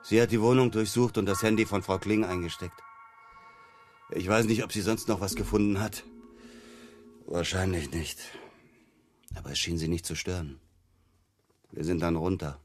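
Guy playing a low voiced shrink accused of murder, halting n defensive, radio drama, bit of music behind. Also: natural voice. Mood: subdued, confessing. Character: evasive male psychotherapist murder suspect, halting, defensive. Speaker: actor in a radio crime drama.

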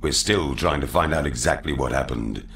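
An actor playing a stern, composed military commander with clipped authority in a game cutscene. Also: male voice, a bass voice, normal speed, a bit bright, slight background noise. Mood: firm briefing. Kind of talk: video game cutscene acting. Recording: roomy echo, music behind.

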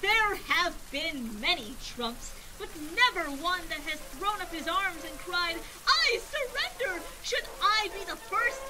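A woman voicing a boastful, pompous boy adventurer in an old-fashioned radio drama, with archaic, grandiloquent speech that swings from loud to hushed. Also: extremely high pitch, a little slow, few pauses, low-quality recording. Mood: defiant. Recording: steady background noise.

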